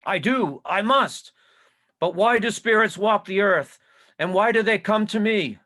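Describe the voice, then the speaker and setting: A man's voice: high voice, lively intonation, a little slow, sharp tone, heavily acted. A man playing a frightened, stubborn old miser, theatrical and exclaiming, in an amateur reading of a Victorian story. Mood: terrified, pleading.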